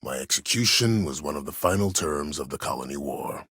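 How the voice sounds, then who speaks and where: An aged, disgraced war admiral for a video game. His voice is extremely low and husky, recorded close and dry. He speaks formally and with measured self-assurance, proud and cunning, with a quiet menace under the courtesy. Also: expressive, dry recording, full character voice. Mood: defiant, measured. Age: older. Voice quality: husky, very deep. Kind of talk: video game character dialogue.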